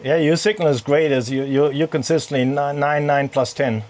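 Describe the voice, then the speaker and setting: A man from Germany with a German accent, talking over ham radio from his sailboat in halting speech full of fillers. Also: a little high, fairly even intonation, noisy background. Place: sailboat cabin in a marina.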